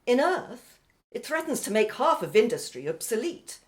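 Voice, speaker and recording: Woman acting a bossy woman with wildly swinging pitch. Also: big pitch swings, normal speed. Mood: urgent, commanding. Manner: imperious, scolding, flustered when questioned. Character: bossy, scornful woman Amina, desperate to be assimilated. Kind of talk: audio drama acting.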